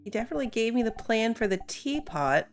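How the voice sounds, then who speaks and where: A woman hosts a gaming video in a casual, chatty way. Her intonation moves up and down in a lively manner as she thinks aloud, with music clearly playing behind her. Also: on the high side, fast talker. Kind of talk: casual playthrough commentary.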